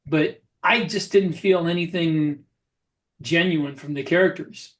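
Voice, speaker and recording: Higher-pitched, reflective man. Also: a little slow, a bit bright. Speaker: podcast co-host. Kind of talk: casual discussion.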